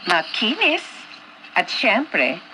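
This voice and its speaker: A woman's voice: mid-range voice, wildly swooping pitch, very slow, very noisy, full character voice. An actress playing a vain, haughty rich heiress in her twenties in a radio drama, conceited and dramatic, with a Filipino accent. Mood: smug, vain. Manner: conceited, dramatic, code-switching Taglish. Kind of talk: Philippine radio drama acting.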